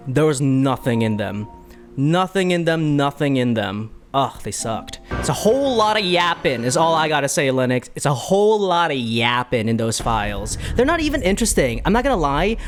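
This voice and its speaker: An animated game streamer talks casually to viewers. The pitch swings widely as they ramble and circle back over their words, with music playing underneath. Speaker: streamer reacting to a video game playthrough. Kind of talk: casual livestream chat, commentary.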